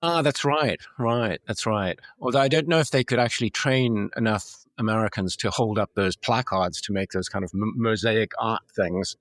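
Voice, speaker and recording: A man's voice: lowish voice, normal speed, few pauses, fairly bright tone, dry recording. A man hosting a conversational interview, putting questions and remarks to his guest. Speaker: interviewer, host.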